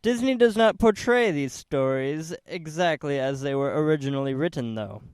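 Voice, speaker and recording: A man's voice: on the high side, expressive, normal speed, darkish tone, smooth, no echo, studio quality. A man narrating a documentary from a script in a formal, careful, essay-like manner. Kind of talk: scripted narration, read aloud.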